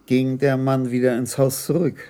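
An actor playing a calm, shrewd senior police commissioner in a radio crime drama, with measured, probing speech. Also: male voice, mid-range voice, close to an everyday voice. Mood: attentive. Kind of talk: 1980s German radio drama acting.

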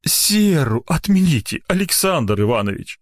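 A man's voice: fairly high pitch, big pitch swings, measured pace, pitched above the performer's own voice. A man voicing an old male patient in a comic story, whining, self-pitying and pleading in a tragic, tearful manner. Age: older.

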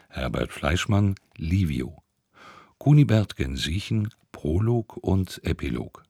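A man reading a formal radio play announcement in a deliberate, scripted manner. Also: low voice, a little mellow, slightly rough, dry recording, clean studio sound.

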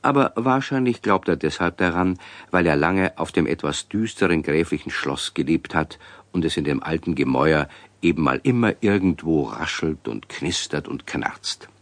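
A man narrates a scripted children's story in a low voice. His intonation is lively and varied, and the sound is dry, with hardly any room on it. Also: normal speed, dry recording.

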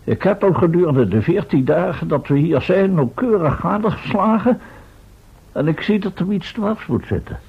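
A man's voice: sits high, lively intonation, moderate pace, breathy edge, lo-fi sound, full character voice. A man acting an exasperated, schoolmasterly professor who leads an expedition in an old radio drama. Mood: stern, exasperated. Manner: indignant, schoolmasterly. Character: exasperated male professor leading a time-travel expedition.

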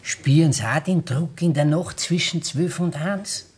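An actor with a Bavarian accent playing a fussy hypochondriac tavern guest with a husky voice in a radio play. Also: male voice, fairly high pitch, a little slow. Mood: fretful. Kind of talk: 1960s Bavarian radio drama dialogue.

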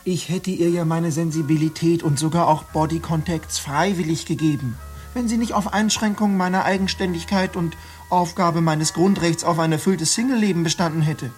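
A woman's voice: lowish voice, normal speed, darkish tone, soft background music, natural voice. A performer in a radio play as an indignant man complaining that he was seduced, aggrieved and self-righteous.

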